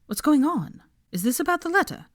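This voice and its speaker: A woman's voice: sits high, sing-song, fairly quick, no echo, studio quality, pitched above the performer's own voice. A woman voicing a blunt, concerned young man, a loyal friend who is stern and exasperated. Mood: worried.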